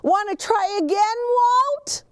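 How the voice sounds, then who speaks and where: A woman plays an eager, friendly snowplow driver man from a storybook. The voice jumps way up high and swoops around, full of exclamations as he cheers on his buddy. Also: expressive, slow, no echo, full character voice. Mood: encouraging.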